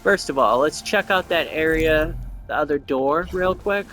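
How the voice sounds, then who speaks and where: A man with a bright, fairly high voice hosts a gameplay video. He talks casually and thinks aloud, guessing at what things are. Game music plays behind him. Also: animated, a little slow. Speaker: gamer host of a gameplay video.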